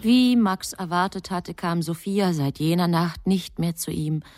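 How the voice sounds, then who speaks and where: A woman narrating a literary radio drama from a script in a composed, measured storytelling manner. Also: on the low side, a little mellow, no room sound, clean studio sound.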